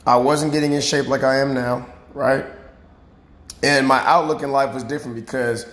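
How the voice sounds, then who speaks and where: A man with a raspy, hoarse voice, a fitness coach talking casually and earnestly to his viewers, often checking in with "right?" and "okay?". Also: mid-range voice, steady tone, moderate pace, a bit bright. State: hoarse after boot camp. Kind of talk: casual talk to camera. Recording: roomy recording.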